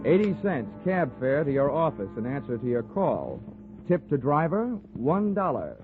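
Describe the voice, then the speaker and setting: A man's voice: fairly high pitch, big pitch swings, moderate pace. An American man playing a flippant, wisecracking insurance investigator in a 1940s radio detective drama, delivering lines drily. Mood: dry, wry. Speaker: radio drama lead and narrator. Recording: tinny, band-limited 1940s radio with music.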